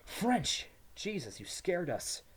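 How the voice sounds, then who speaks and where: A man's voice: sits high, sing-song, slow pace, slightly rough, voice pushed up, full character voice. A man voicing an agitated young male companion with urgent exclamations in a dramatic story reading. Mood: startled.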